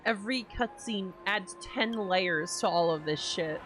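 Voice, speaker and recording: A woman streaming a game, giving expressive, sweary, casual live reactions. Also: medium pitch, unhurried, faint music behind.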